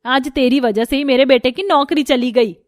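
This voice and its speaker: A woman's voice: high-pitched, moderate pace, dry recording, clean studio sound, natural voice. Woman putting on a scolding, bitter mother-in-law. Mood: angry, scolding. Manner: scolding, cutting. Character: harsh, taunting older mother-in-law, bitter and scornful.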